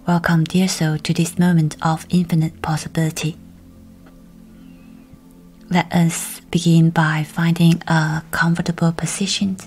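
A woman leading a guided meditation in a soft, breathy, whispery voice, with gentle, measured, soothing delivery. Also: low-pitched, little pitch movement, soft background music.

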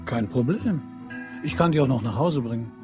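An actor plays a flirtatious hustler, a man sure of himself, in a radio crime play. His pitch is medium and his manner cocky. Music runs clearly behind him. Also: expressive, normal speed, close to an everyday voice. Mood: cocky, playful.

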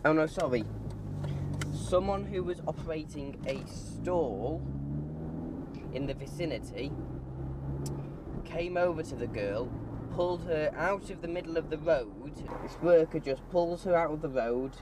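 Slow, halting man over loud car noise. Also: fairly high pitch, lively intonation. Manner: halting, hesitant, self-correcting. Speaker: vlogger. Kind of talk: casual car vlog monologue while driving. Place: inside a moving car. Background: car and road noise.

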